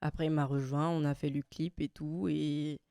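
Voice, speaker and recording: A woman in her twenties from French Guiana, a singer chatting casually in an interview, relaxed and often laughing. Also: deep voice, normal speed, dry recording, studio quality. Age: 20s. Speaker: guest singer.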